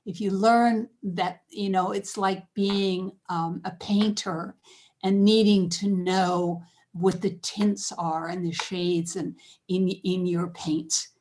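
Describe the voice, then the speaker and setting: A woman's voice: lowish voice, a little mellow. A woman poet and teacher giving earnest, emphatic, instructive advice in a conversational way on an online panel. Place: online video call.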